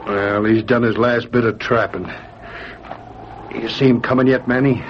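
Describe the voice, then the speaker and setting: An actor playing a cold-blooded, gruff outlaw with folksy, ungrammatical frontier speech in an old-time radio drama. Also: male voice, mid-range voice, steady tone, moderate pace, natural voice. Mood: cold, impatient. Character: cold-blooded male outlaw lying in ambush, gruff and callous. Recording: muffled, noisy vintage radio recording.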